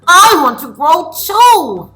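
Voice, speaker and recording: Woman reading as eager, animated little boy. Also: very high-pitched, slow, voice pushed up, heavily acted. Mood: earnest longing. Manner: exclaiming, earnest. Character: eager young boy Julian, four years old. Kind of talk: storybook read-aloud.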